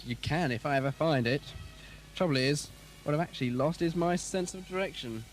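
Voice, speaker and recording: A man's voice: fairly high pitch, a little fast, lightly acted. A man playing an eccentric, genial, absent-minded time traveller in an audio drama, speaking in a hesitant, whimsical way. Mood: whimsical, bemused. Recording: 1980s cassette, faint music behind.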